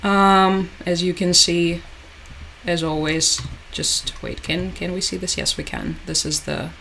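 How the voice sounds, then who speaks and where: A woman's voice: fairly low pitch, lively intonation, measured pace, gravelly, pushed and bright, a little hiss or hum, rough recording. Somber woman hosting a live stream. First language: Russian. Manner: somber, hesitant, many fillers and restarts; resigned, repeats "unfortunately" and "as always". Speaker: livestream host. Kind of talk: live commentary while reading chat.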